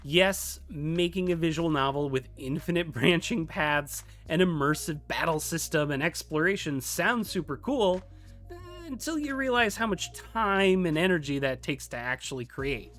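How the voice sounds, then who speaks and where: A man's voice: fairly high pitch, animated, moderate pace, fairly bright tone. A man narrating a scripted explainer as a tutorial host, with playful, emphatic asides. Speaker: host of a game development tutorial. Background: background music.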